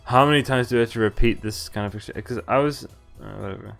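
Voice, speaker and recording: A man's voice: mid-range voice, fast talker, gravelly, a bit bright. A man streaming a visual novel, reading the game text aloud with casual, profane, laughing commentary. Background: faint game music.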